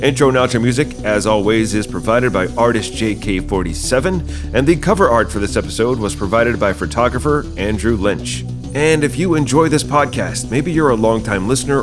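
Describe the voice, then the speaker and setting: Guy hosting a podcast, casual talk, regular mid voice, chatty interview style. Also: mid-range voice. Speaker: podcast host and playwright. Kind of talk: casual interview chat and show outro.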